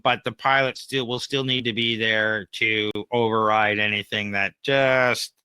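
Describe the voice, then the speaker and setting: A man's voice: fairly low pitch, steady tone, unhurried, bright, forceful tone, clear, resonant tone, dry recording. A man co-hosting a podcast, giving opinions in casual conversation and explaining them with everyday examples. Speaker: podcast co-host and AI consultant.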